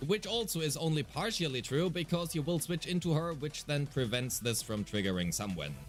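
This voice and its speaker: A man's voice: fairly high pitch, animated, a little fast, faint music behind. A man reading scripted explanatory narration as a game guide, detailed and methodical with a mildly wry touch.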